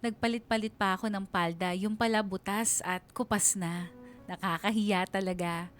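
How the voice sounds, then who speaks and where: A woman's voice: mid-range voice, steady tone, moderate pace, faint music behind, close to an everyday voice. A woman playing a bashful young Filipina who narrates her memories in a confiding, self-mocking way for a radio drama. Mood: embarrassed.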